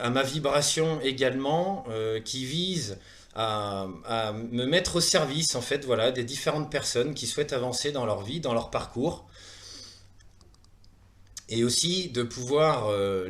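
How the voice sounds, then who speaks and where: Man, thirties, French accent from France, medium pitch, earnest, halting delivery with repeated words, presentation style. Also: expressive, a bit bright. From France, Saumur in Maine-et-Loire. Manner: earnest, hesitant, with frequent pauses and word repetitions. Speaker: presenter of a wellness training programme. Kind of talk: semi-prepared online presentation.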